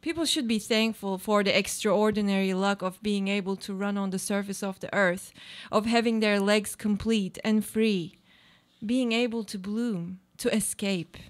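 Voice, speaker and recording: A woman's voice: mid-range voice, moderate pace, lightly acted. A woman playing an aloof, self-absorbed woman in a live radio play, speaking formal, literary monologues. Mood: wistful. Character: aloof, self-absorbed woman clinging to her comfortable life.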